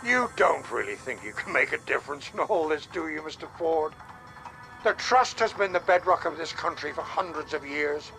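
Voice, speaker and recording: A scheming secret-society villain speaks in a lively, gravelly voice set a little high, rising and falling with contempt. Game score plays clearly behind him. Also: male voice, normal speed, faint music behind. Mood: menacing. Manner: menacing, condescending.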